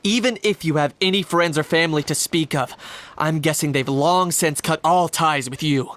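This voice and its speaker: A man's voice: fairly high pitch, fairly bright tone, natural voice. Actor as a dry, youthful male game hero. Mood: dismissive. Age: young adult. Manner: measured, dry, sardonic. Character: cool, shrewd young man, dryly unimpressed. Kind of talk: video game dialogue.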